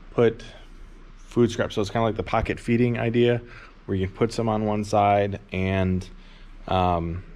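Chill guy in his 30s, low-ish voice, lots of ums, filming himself close up in a big barn. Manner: relaxed, rambling, many "um"s. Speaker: worm farmer. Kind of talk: casual how-to vlog. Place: large insulated barn or workshop, indoors. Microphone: camera held low, close.

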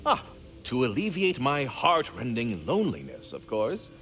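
A man's voice: medium pitch, huge pitch swings, unhurried, fairly bright tone, a little hiss or hum, full character voice. A man voicing a polite magical squirrel in a video game, with formal, florid, theatrical diction. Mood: courteous, candid.

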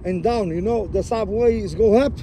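A man's voice: high voice, moderate pace, warm tone, slight background noise. A Portuguese man speaking broken English in an effusive, friendly way as a taxi passenger, recorded inside a car. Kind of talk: casual chat. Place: inside a car on a city street.

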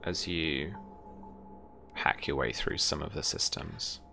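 A young man with a very low, bright voice runs a tabletop game, describing scenes off the cuff. He pauses thoughtfully between phrases, and faint music plays behind him. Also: vocal fry. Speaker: game master. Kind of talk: unscripted tabletop game narration. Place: indoor room, online video call.

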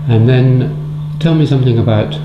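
A man's voice: medium pitch, normal speed, reverberant, dull audio, very noisy. A man interviewing a guest with courteous, brief questions.

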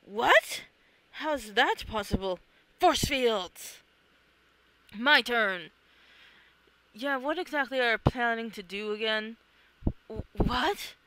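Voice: mid-range voice, lively intonation, takes it slowly, pauses often, sharp tone, dry recording, low-quality recording. A person reading a comic aloud, acting out the characters in a theatrical, exclamatory way. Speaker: reader of own comic. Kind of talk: animated read-along of a comic, acting out characters.

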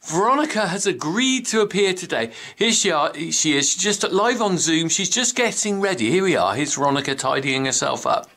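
Bright, playful English farmer vlogging. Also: male voice, fairly high pitch, lively intonation, normal speed, hardly any pauses, slight background noise. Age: middle-aged. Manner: chummy, playful, teasing. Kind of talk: jokey vlog chat. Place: living room indoors.

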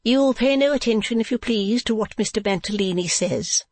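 An imperious, genteel businesswoman from a classic storybook, acted out by a narrator. Her voice sits high and carries a scolding, haughty edge. The recording is dry and close. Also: normal speed, darkish tone, dry recording, close to an everyday voice. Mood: businesslike. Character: haughty, brisk businesswoman wife, affectedly genteel. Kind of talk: classic novel audiobook character.

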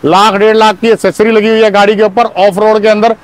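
A middle-aged man, a used car dealer, giving an energetic sales pitch outdoors on a car lot, speaking in punchy bursts and calling the viewers his brothers, recorded on a lapel mic. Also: brisk. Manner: punchy, repeats "my brothers" often.

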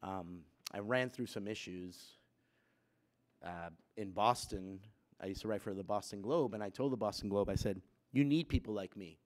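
Low-voiced man in his fifties speaking in chapel. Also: moderate pace, a little mellow. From Puerto Rico. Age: 50s. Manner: conversational, many fillers, grows emphatic. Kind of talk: personal talk to students. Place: wood-panelled school chapel, students seated behind. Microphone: gooseneck lectern mic.

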